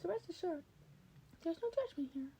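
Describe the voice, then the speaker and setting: A woman's voice: extremely high pitch, lively intonation, a little fast, mellow, a little hiss or hum, voice pushed up. A woman voicing a small, cheery, curious male animal mentor in a fan fiction reading, speaking formally and encouragingly. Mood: cheerful, reassuring. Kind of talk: fan fiction podfic character voice.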